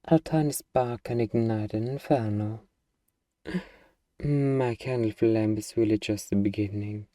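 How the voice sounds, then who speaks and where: A man voicing an eager, friendly, bumbling little demon in an intimate, playful ASMR roleplay, hesitant and coaxing with drawn-out hums. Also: lowish voice, fairly even intonation, dark tone, no echo, studio quality, lightly acted.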